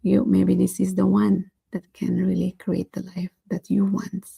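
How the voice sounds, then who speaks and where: A woman vlogger giving a motivational talk to her viewers, earnest and repeating "right?", her voice going hoarse. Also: medium pitch, completely flat delivery, measured pace, mellow. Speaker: vlogger hosting a daily challenge.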